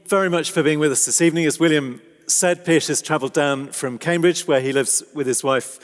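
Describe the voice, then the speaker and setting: A man's voice: fairly high pitch, brisk. Polite male interviewer, slightly raised voice, long church-hall echo. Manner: courteous, gently probing. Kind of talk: interview questions. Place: large church.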